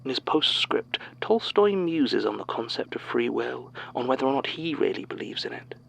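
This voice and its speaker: Creaky, knowing, sinister monologue. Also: male voice, mid-range voice, big pitch swings, normal speed, a bit bright, exaggerated voice. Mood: wry, musing. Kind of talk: audio drama monologue.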